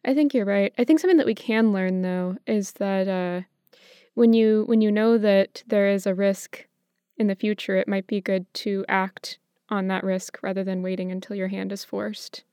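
An American woman co-hosting a podcast, explaining things in a measured, conversational way. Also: medium pitch, fairly even intonation, warm tone, clear, resonant tone, dry recording, studio quality. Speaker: podcast co-host.

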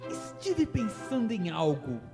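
A performer voicing Rostabal, a brutal scheming brother in an amateur radio drama, speaking low and conspiratorially. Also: huge pitch swings, unhurried, big-room sound, music in the background.

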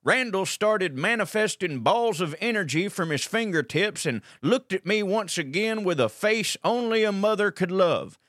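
A man's voice: sits high, lively intonation, normal speed, few pauses, a bit bright, dry recording, studio quality. A man with a Southern US accent acting a folksy colonel detective who narrates a comic audio drama with wry asides. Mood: wry, dramatic. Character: folksy, digressive Southern colonel detective telling his tale.

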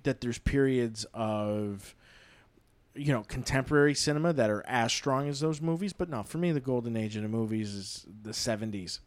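A man's voice: mid-range voice, a little fast, hardly any pauses, no echo. A man chatting casually on a film podcast, rambling with lots of "you know" and "I mean.". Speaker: podcast guest, film commentator.

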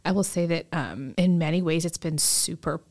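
A woman in her thirties with a slightly low voice chats as a podcast co-host. Her delivery is informal and conversational, with a light teasing manner. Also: moderate pace, darkish tone. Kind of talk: casual conversational podcast chat.

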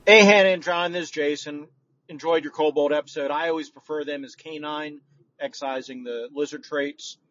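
A man's voice: fairly high pitch, fairly even intonation, moderate pace, fairly bright tone. Distant, muffled man leaving a casual voicemail. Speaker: podcast listener calling in. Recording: dull, noisy, distant voicemail recording.

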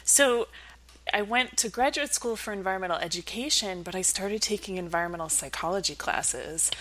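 A woman's voice: medium pitch, normal speed, a bit bright, low-quality recording. A woman speaking as an academic guest in an interview, explaining things in a reflective, conversational way. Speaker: academic researcher as podcast guest.

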